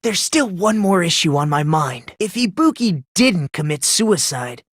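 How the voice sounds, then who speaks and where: An actor voicing a polite, self-loathing, eerily excitable teenage boy in an English video game, musing softly and prone to breathless gushing. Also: mid-range voice, a little slow, slightly rough, no echo, studio quality. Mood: pensive.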